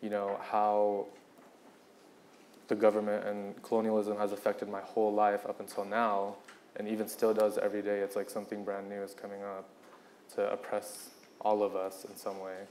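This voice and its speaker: A man speaking earnestly and without a script as a panelist, with halting, deliberate, reflective phrasing. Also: on the low side, monotone, a little mellow, slight background noise. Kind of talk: earnest panel talk, unscripted.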